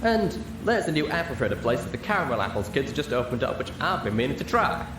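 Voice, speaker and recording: A down-home country mare with a drawl as a male reader puts her on, pitched up from his usual voice. She sounds sincere and plain-spoken, like a storybook farm girl. The room echoes, with noise behind. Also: very animated, fast talker, distant mic, close to an everyday voice. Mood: earnest. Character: folksy, plain-spoken, earnest female country pony. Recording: roomy with clear background noise.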